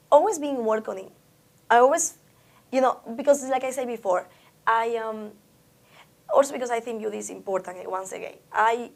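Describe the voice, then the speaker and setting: A woman from the Dominican Republic with a Dominican Spanish accent, a Spanish speaker being interviewed about her art, reflective and often restarting her sentences. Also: medium pitch. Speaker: artist interviewee. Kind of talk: oral history interview.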